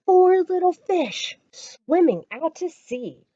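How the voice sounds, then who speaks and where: Casting: American woman as children's storytime reader, very slow and encouraging, widely swooping pitch, dull lo-fi sound. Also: very animated, dark tone, dry recording. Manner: sing-song, encouraging, addresses children directly. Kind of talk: children's read-aloud and counting rhymes. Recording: dull lo-fi audio.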